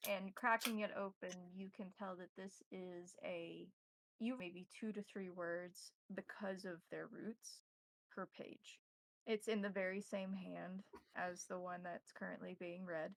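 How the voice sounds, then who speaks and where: A woman game master narrates a tabletop horror story. Her voice carries plenty of creaky fry and is recorded dry. She describes things deliberately, phrase by phrase. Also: fairly low pitch, steady tone, no echo, poor audio.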